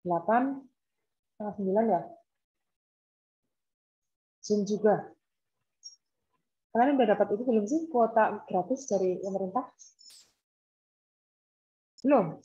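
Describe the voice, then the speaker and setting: Dosen perempuan bersuara lembut, audio teredam. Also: female voice, medium pitch, unhurried, pauses often, warm tone. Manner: informal, explains by examples and repetition. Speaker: university lecturer. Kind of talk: online lecture, explaining and asking students. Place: on campus, online class. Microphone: laptop video call. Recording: dull, lo-fi video call audio.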